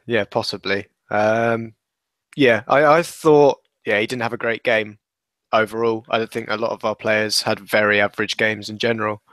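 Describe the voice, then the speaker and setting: Lowish man, dry and measured. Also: fairly even intonation, fairly bright tone, dry recording. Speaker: podcast panellist. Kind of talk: casual football chat. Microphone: headset on a video call.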